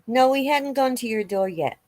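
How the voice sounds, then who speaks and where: A woman reading the part of a calm, reassuring law officer in a dramatic reading of a witness interview. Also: on the high side, moderate pace, dry recording, close to an everyday voice. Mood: matter-of-fact. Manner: matter-of-fact, reassuring.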